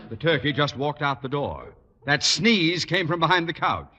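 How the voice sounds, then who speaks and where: Pompous panicky middle aged boss man, old time radio comedy, highish voice, big echoey hall sound. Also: big pitch swings, normal speed, sharp tone, full character voice. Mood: bewildered, irritable. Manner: pompous, theatrical, exasperated. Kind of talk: 1940s radio comedy acting. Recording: noisy old radio recording, hall reverb.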